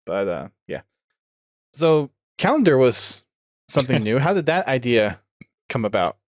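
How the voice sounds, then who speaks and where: A man's voice: mid-range voice, very animated, unhurried, no room sound. Casual male podcast host, dry close sound. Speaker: podcast host interviewing a guest.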